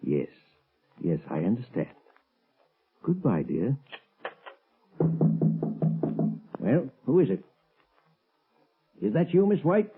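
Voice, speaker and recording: Brusque, uneasy professor, old radio thriller; lively swooping intonation, evasive, thin lo-fi sound. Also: male voice, mid-range voice, unhurried, pauses often, husky, faint music behind, full character voice. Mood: wary. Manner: hesitant, evasive, blustering. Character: shifty, nervous male professor, brusque sinister husband. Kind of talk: 1930s-40s radio mystery acting. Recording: muffled, lo-fi vintage radio recording.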